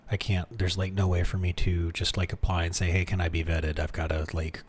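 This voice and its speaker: A man's voice: low voice, level tone, a little fast, slight background noise. A man streaming from home, chatting casually and thinking aloud, with lots of restarts and fillers as he mutters his way through things. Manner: rambling, many restarts and fillers, muttering while reading.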